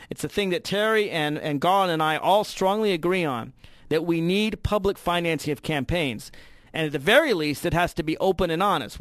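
An American male talk show host with a fairly high voice in a dry, close space. He speaks conversationally but emphatically, building his points with rhetorical turns like a political commentator. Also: a bit bright, no echo. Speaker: radio call-in show host.

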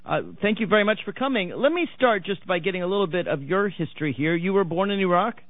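A man's voice: sits high, fast, no room sound. A man hosting a radio interview show, talking in a conversational, wry way. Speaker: radio talk show host. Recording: dry, phone-like narrow sound.

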